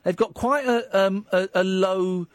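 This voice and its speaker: A man's voice: high-pitched, unhurried, no room sound, studio quality. A man hosting a late-night phone-in radio show, talking in a playful, teasing, mock-flirty way. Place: radio studio. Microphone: studio mic.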